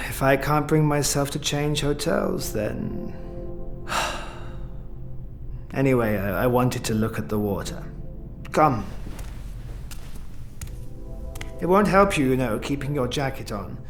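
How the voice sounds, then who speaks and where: An actor playing a wistful, evasive immortal man in an audio drama, speaking with theatrical eloquence that turns halting when he is pressed. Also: mid-range voice, animated, soft background music. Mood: tender, hesitant.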